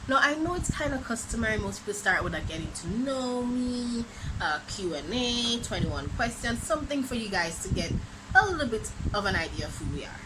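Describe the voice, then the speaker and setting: Animated young Jamaican woman telling a story. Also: on the high side, a little fast. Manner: animated, dramatic storytelling with exclamations. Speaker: vlogger. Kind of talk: storytime vlog. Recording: noisy background ambience.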